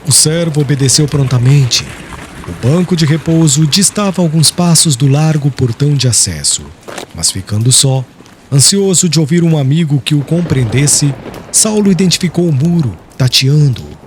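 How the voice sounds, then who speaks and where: A man reads scripted literary narration with solemn drama. His fairly high voice moves in lively, wide contours, over a faint bed of noise and crickets. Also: normal speed. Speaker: audiobook narrator.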